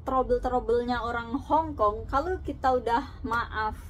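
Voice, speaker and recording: A woman's voice: on the high side, unhurried, highs cut off. A woman who works abroad as a domestic helper, giving casual, earnest advice to fellow workers, laughing often and slipping in English words. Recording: noticeable background noise.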